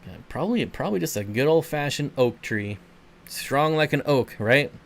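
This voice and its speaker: A man's voice: medium pitch, lively intonation, moderate pace. A man hosting a casual livestream, answering viewer comments in a laid-back, rambling way and chuckling often. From Michigan. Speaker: channel host.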